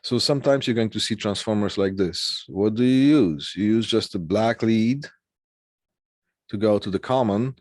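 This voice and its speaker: A man teaching a technical lesson informally over slides, explaining haltingly and often checking that listeners follow. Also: on the low side, darkish tone, no echo, studio quality. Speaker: technical instructor.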